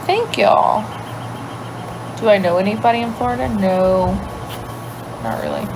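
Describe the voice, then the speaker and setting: A woman's voice: medium pitch, huge pitch swings, measured pace, vocal fry, background noise. Chatty woman with a light Cajun lilt. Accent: light Cajun Louisiana. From Louisiana. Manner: chatty, thinks aloud, trails off into quiet asides. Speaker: livestream host. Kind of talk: casual livestream chat answering viewers. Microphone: phone.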